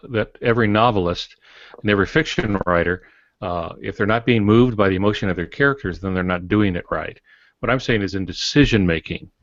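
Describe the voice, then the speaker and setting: A man's voice: fairly low pitch, gravelly, dry recording. An older man talking casually on a podcast as a co-host, giving writing advice in a folksy way with homespun metaphors. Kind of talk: casual advisory podcast talk. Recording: dull, lo-fi, dry podcast audio.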